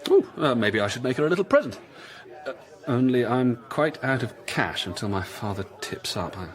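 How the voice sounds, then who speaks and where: An actor as a rakish young English gentleman officer of a period radio play. His voice swings very widely in pitch, blustering and haughty, proud and charming by turns. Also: big pitch swings, moderate pace, close to an everyday voice. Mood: breezy, sheepish. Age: young adult. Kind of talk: period radio drama acting.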